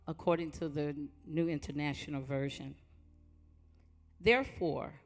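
A woman with a strong, loud voice, preaching and praying in a church sanctuary with a fervent, rhythmic cadence. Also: deep voice, a little slow, soft background music. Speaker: guest preacher. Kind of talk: church sermon and prayer. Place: church sanctuary before a congregation.

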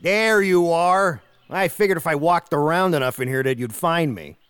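An actor playing a dutiful messenger who serves vampires in an audio drama. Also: male voice, a little high, normal speed, fairly bright tone, clear, resonant tone, no echo, natural voice.

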